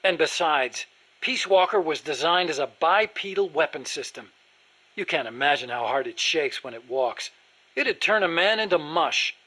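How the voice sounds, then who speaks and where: An earnest American weapons engineer from a video game, speaks in a high, sharp-edged voice. He is nerdy and precise, eager to explain every technical detail. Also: expressive, moderate pace. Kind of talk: video game dialogue acting.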